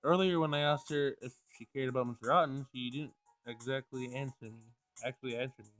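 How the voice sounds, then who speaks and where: A man's voice: mid-range voice, steady tone, fairly quick, fairly bright tone, faint music behind, rough recording. A man reading a visual novel aloud as he plays, sometimes stumbling over words and rereading lines. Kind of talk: Let's Play read-aloud of game text.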